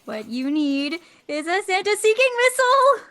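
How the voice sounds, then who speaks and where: Very high, impatient little sidekick. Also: female voice, sing-song, normal speed, a bit bright, exaggerated voice. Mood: impatient, excited. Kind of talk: audio drama acting.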